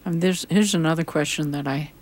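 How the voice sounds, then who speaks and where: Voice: mid-range voice, fairly quick, darkish tone. A host asking curious questions in a casual interview.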